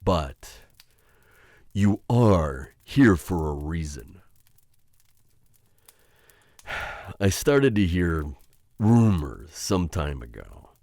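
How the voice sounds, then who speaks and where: Man playing a deep-voiced, menacing witch hunter. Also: big pitch swings, mellow, no echo, clean studio sound, full character voice. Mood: weary, remorseful. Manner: slow, halting, intimate taunting address to listener. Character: menacing male witch hunter, taunting his chained captive, conflicted. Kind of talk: ASMR roleplay acting.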